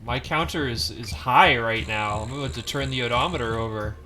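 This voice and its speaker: A man's voice: medium pitch, fairly quick, bright, forceful tone. A man casually commenting as he plays a game, wry and self-deprecating, with half-mumbled asides. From not from Maine. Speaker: gaming streamer.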